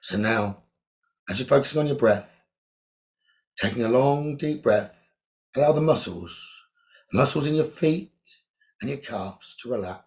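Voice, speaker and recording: A man of middle pitch leads a guided meditation, slow and soothing, repeating phrases and pausing often. The recording sounds dull and lo-fi. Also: expressive. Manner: slow, soothing, repetitive instructions with long pauses.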